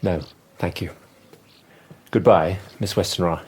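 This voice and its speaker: An earnest, low-voiced Victorian doctor. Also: male voice, unhurried, breathy edge. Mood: awkward, restrained. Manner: hesitant, formal. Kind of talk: radio drama acting.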